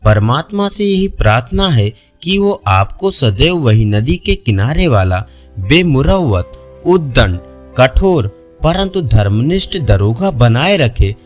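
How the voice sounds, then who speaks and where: A man's voice: mid-range voice, sing-song, slow pace, soft background music. A man voicing a wealthy, generous older landlord in a storybook, speaking persuasively.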